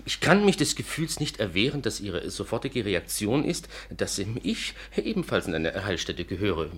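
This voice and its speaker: Anxious inventor, fussy and hesitant, low husky voice, vintage radio drama. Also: male voice, big pitch swings, normal speed, a bit bright, exaggerated voice. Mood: pleading, distressed. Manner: hesitant, fussy, repeats a catchphrase. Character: flustered, worried, polite gentleman inventor seeking help. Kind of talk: 1950s German radio play acting.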